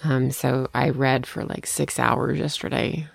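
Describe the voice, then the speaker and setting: A hoarse woman co-hosting a podcast, telling stories casually and often laughing at herself. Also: very deep voice, little pitch movement, a little slow, soft background music. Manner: laughing, self-deprecating storyteller. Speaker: podcast co-host. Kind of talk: casual chat.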